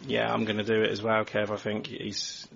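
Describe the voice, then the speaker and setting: A man's voice: fairly low pitch, completely flat delivery, moderate pace, vocal fry, a bit bright, slight background noise. A man hosting a football podcast, chatting casually and putting questions to the others. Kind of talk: casual sports discussion and interviewing. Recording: dull, lo-fi voice recording.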